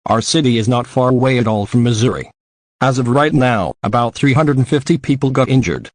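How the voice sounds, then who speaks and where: A man's voice: mid-range voice, dry recording. A man reading a scripted local news report as a news anchor.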